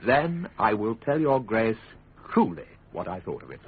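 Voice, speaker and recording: An actor playing a principled, deferential statesman in a classic radio drama, speaking in a measured, formal and courteous way. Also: fairly low pitch, big pitch swings, slightly rough, heavily acted. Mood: careful, humble. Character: principled, deferential male statesman, grave and careful. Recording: muffled, narrow-band radio broadcast.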